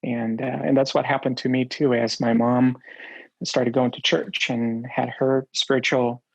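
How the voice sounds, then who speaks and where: A man in his forties, originally from Romania, telling his life story in an interview, with many pauses and fillers. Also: mid-range voice, flat delivery, gravelly, no room sound, dull audio. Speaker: guest.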